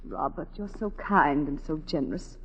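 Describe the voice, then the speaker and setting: A woman's voice: deep voice, lively intonation, normal speed, husky, muffled recording, full character voice. A woman playing a refined, guilt-ridden wife who has killed her lover, in intense 1940s radio drama style. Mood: pleading, despairing. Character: tormented unfaithful wife confessing murder, refined and guilt-ridden. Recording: band-limited 1940s radio recording with music.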